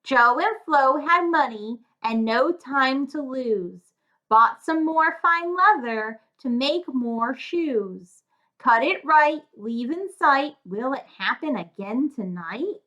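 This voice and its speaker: A woman reads a children's tale in a high, bright voice. She takes it slowly and with a sing-song lilt, speaking directly to young listeners like a tutor. The recording is a little muffled. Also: lively intonation. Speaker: children's story reader and tutor. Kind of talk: read-aloud of a rhyming children's fairy tale.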